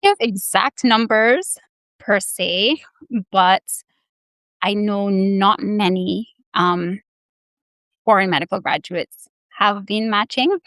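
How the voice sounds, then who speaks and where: A woman's voice: mid-range voice, slow pace, long pauses, a bit bright, dry recording, rough recording. Earnest woman from the Caribbean. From Bahamas and Barbados. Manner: earnest, hesitant with many fillers. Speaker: guest, anesthesiology resident. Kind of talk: interview answers.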